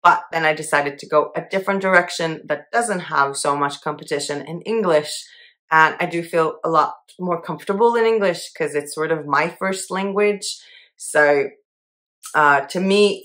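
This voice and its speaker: A woman's voice: sits low, fairly bright tone. A young woman hosting her own channel, chatting casually and upbeat to camera about her monthly goals and laughing at herself now and then. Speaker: channel host.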